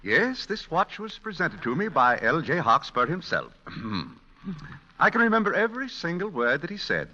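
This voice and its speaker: A man's voice: a little high, huge pitch swings, moderate pace, sharp tone, long reverb tail, exaggerated voice. A man playing a prim, pompous, teetotal small-town committee man, fussy and stammering, in 1940s radio comedy style. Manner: fussy, throat-clearing, stammering. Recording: dull, lo-fi vintage radio recording.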